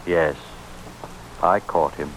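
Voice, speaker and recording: A man playing a grave, courteous middle-aged police inspector in a vintage radio drama, speaking deliberately. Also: rumbling low. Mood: brooding. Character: haunted, courteous Scotland Yard inspector with lost past. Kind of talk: 1940s radio drama acting. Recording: noisy old radio recording.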